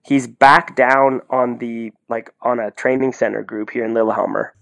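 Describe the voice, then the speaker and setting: A man co-hosting a sports podcast, talking in an excitable, effusive, slangy way in casual commentary. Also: medium pitch, normal speed, creaky.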